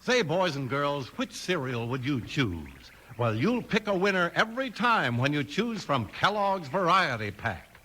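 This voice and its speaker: A man's voice: on the high side, big pitch swings, unhurried, few pauses, noisy background. An American man announcing a 1950s radio show and reading its sponsor advertising in the polished style of that era. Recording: old 1950s radio broadcast. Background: music.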